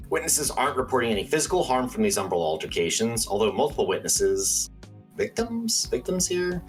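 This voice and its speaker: An actor playing a quirky, excitable small-town paranormal radio host in a radio play, theatrical and earnest. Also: male voice, mid-range voice, fairly quick, faint music behind. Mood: earnest, intrigued. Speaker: radio call-in show host.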